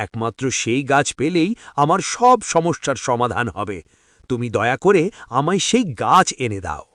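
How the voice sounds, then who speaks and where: A man's voice: medium pitch, very animated, normal speed, a bit bright, no room sound, clean studio sound. A man voicing an earnest, selfless seeker in a fairy-tale cartoon, pleading and dramatic. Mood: dismayed, pleading. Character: earnest, selfless male seeker of a healing tree.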